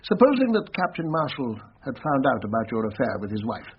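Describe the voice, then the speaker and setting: An actor with a British accent playing a brisk, direct, matter-of-fact police investigator in a radio drama. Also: male voice, medium pitch, sing-song, lightly acted. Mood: probing, official. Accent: British English. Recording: muffled radio broadcast, hissy with clicks.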